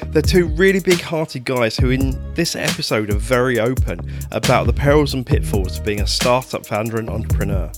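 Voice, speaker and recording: A man's voice: mid-range voice, animated, moderate pace, few pauses, music in the background. A man hosting a podcast who reads a scripted intro and then asks his guests questions in a conversational way. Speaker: podcast host. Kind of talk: podcast interview.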